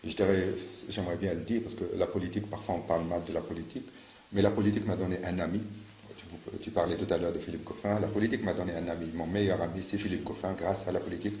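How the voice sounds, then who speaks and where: Deep gravelly guy from morocco, campaign interview, lots of uh and restarts, echoey room. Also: fairly even intonation, fast talker, warm tone, slight background noise. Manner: hesitant, many fillers and restarts. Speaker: local election candidate. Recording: echoey room with faint ambience.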